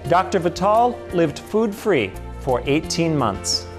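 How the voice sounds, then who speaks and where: Calm documentary host, mid-pitched voice, explanatory read with clear music underneath. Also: slow. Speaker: host and narrator. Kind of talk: scripted documentary narration.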